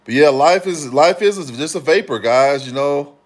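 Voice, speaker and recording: A man from Chicago hosting a casual livestream, answering comments in a relaxed, rambling way with frequent fillers and restarts. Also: a little high, moderate pace. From Chicago, Illinois. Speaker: livestream host.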